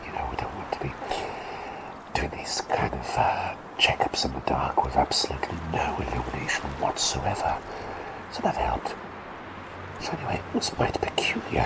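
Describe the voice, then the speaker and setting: Guy doing a rambling little bird-doctor, whispery, voice leaps from super low to way up high. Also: vocal fry, full character voice, poor audio. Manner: whispery, rambling, eccentric, chatty. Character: quirky eccentric male plague doctor turned small bird. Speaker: ASMR roleplay performer. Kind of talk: whispered ASMR roleplay.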